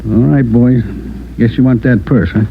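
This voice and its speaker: An American actor playing an affable, hospitable bar owner, hearty and eager to please, in an old radio drama. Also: male voice, mid-range voice, moderate pace, vocal fry, lightly acted. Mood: hearty, welcoming. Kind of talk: 1940s-50s radio drama acting. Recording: muffled old radio broadcast, faint hiss.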